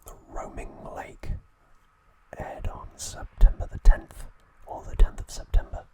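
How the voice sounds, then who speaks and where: Whispering man narrating ASMR trivia. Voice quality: breathy, unvoiced whisper. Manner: hushed, measured delivery in short phrases. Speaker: ASMR host.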